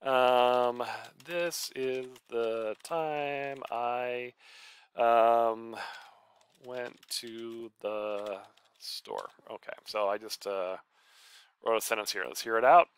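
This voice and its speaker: A man's voice: medium pitch, fairly even intonation, very slow, smooth. A man giving a casual screencast tutorial, talking informally through his steps aloud. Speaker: college professor and author giving a software tutorial.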